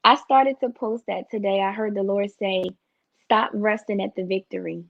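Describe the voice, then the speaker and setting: A woman's voice: steady tone, normal speed, creaky, background noise. A woman hosting a faith podcast, who affirms her guest often and preaches a little when she speaks up. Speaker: podcast host. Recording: dull, dry, low-fi.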